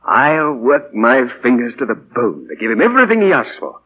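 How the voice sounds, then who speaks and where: A man's voice: medium pitch, huge pitch swings, moderate pace, slightly rough. A man playing a doting, idealistic father and novelist in 1940s radio drama, speaking earnestly and theatrically, hesitant when moved. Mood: rapt, tender. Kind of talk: 1940s radio drama acting with diary narration. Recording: muffled old 1940 radio broadcast, music behind.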